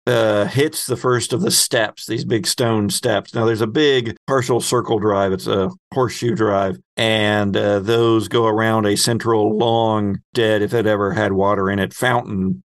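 A man acting as game master, improvising descriptive narration of a tabletop game in halting phrases. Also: fairly low pitch, steady tone, dry recording.

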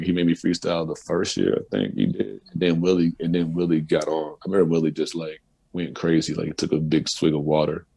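Deep gravelly man telling stories loosely. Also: poor audio. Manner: loose, profane, animated storytelling. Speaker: musician guest. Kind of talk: casual storytelling interview.

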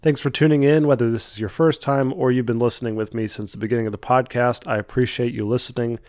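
A man's voice: medium pitch, fairly even intonation, fast, a little mellow, soft background music. Congested young man hosting a devotional podcast. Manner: earnest, with frequent restarts and repeated words. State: congested from a cold. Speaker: podcast host. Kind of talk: solo devotional podcast talk.

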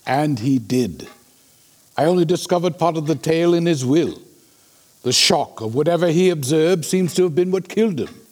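Man acting earnest Victorian gentleman, slightly high voice. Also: animated, normal speed. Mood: grave. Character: earnest, shocked Victorian gentleman, loyal friend. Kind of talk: radio drama acting.

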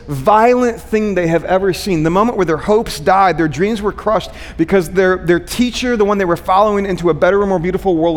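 Higher-voiced male preacher in a reverberant hall. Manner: rhetorical questions, repeated phrases for emphasis, frequent "right?" check-ins. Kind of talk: conversational sermon to a congregation.

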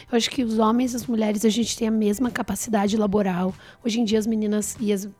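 A woman with a southern Brazilian accent and a slightly hoarse voice, chatting casually as a podcast guest. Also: medium pitch, warm tone. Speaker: podcast guest, writer and journalist. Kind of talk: casual conversation.

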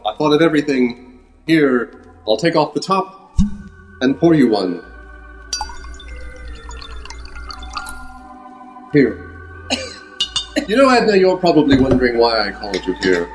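A man's voice: medium pitch, big pitch swings, moderate pace, pauses often, close to an everyday voice. Vain matinee-idol leading man, played by a male improviser, theatrical and self-serious, big swooping inflections, classic radio drama with faint music behind. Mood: suave. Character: vain, melodramatic movie heartthrob with a secret shame. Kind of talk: improvised old-time radio drama acting.

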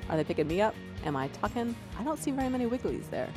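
A woman's voice: medium pitch, very animated, quick pace, over music. A woman from Florida, an author, chatting as a guest on a podcast with bubbly enthusiasm and easy laughter. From Florida, USA. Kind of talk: casual interview chat.

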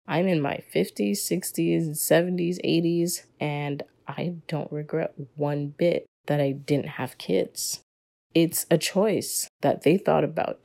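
A woman's voice: sits low, creaky, mellow. A young woman hosting a solo podcast episode, talking in an earnest, opinionated way as she explains her views. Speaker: podcast guest host.

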